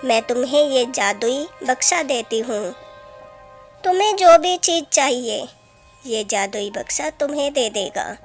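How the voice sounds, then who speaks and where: A woman's voice: sits high, animated, measured pace, soft background music. A woman voicing a kindly, benevolent magical fairy in a fairy tale, gracious and formal.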